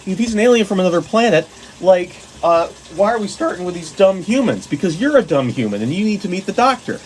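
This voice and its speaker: A man's voice: sits high, big pitch swings, fast. Casual sweary man, noisy recording. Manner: sweary, jokey, digressive. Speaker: host of a fan story guide. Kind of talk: casual rambling video commentary on sci-fi stories.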